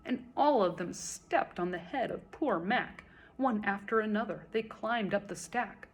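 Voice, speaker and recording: A woman reciting a children's story in verse as a storyteller, with expressive, dramatic and deliberate phrasing. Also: medium pitch, slightly rough.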